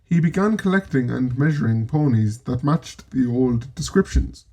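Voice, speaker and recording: Mellow Irish man narrating history. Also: medium pitch, expressive. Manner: measured storytelling with wry asides. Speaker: presenter. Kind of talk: scripted documentary narration.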